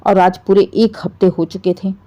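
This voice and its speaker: A woman's voice: medium pitch, little pitch movement, normal speed, dark tone. A woman narrating an emotional family story in a dramatic, scripted storytelling style.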